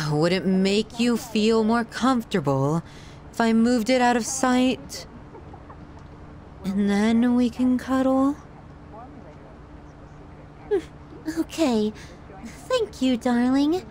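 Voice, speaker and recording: A woman's voice: a little high, expressive, takes it slowly, long pauses, darkish tone, slight background noise. A woman voicing an obsessive, doting young yandere girlfriend in an ASMR roleplay, with a cutesy, giggly manner and an undertone of menace. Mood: playful, clingy.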